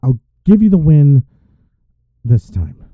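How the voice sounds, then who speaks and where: Heavily muffled, as if behind a closed door, a man acts out an exasperated male rival in a romance audio drama. He grumbles sarcastically in a somewhat high, animated, tired voice. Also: slow, no room sound. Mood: exasperated, weary. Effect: muffled, as if through a door. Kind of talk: romantic audio roleplay acting. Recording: muffled, phone-like narrow band, dry.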